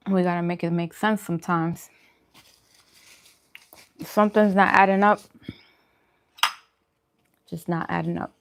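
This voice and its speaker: Low-pitched woman chatting casually. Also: fairly even intonation, a little slow, long pauses, slight background noise. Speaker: vegan food vlogger and host. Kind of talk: casual mukbang chat to camera.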